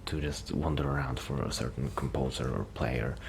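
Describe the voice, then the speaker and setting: Male, low-voiced, soft ASMR delivery bordering on a whisper; shy, halting roleplay ramble, slightly noisy recording. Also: fairly even intonation. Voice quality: soft, breathy, often near a whisper. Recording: noticeable background noise.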